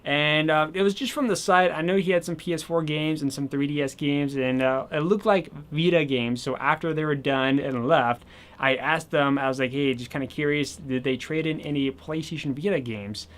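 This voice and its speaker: A man casually showing off his new video games and accessories, chatty and rambling, slightly hoarse as he says he is losing his voice. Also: on the high side, fairly even intonation, moderate pace, fairly bright tone. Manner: chatty and rambling, with many fillers. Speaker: gaming channel host. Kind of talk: casual video game haul vlog.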